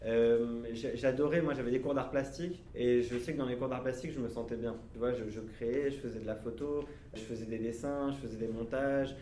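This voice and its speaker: A man in his thirties from France speaks at a middle pitch, answering children with gentle, halting phrases and frequent restarts. His voice rings in an echoey room. A faint hiss sits underneath. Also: fairly even intonation. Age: 30s. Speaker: author interviewed by schoolchildren. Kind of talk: casual interview answers.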